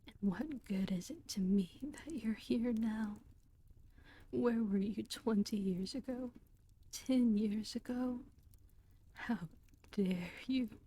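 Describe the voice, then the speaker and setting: A woman whispering the lines of a bitter, tearful middle-aged woman outlaw in a storybook reading, sharp and reproachful. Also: on the high side, slow, pauses often.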